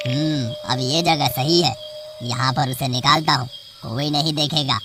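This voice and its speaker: A woman's voice: extremely low pitch, steady tone, unhurried, noisy background. A woman voicing an irritable, poor male snake charmer in a cartoon story, grumbling and blunt. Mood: muttering. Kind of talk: cartoon voice acting.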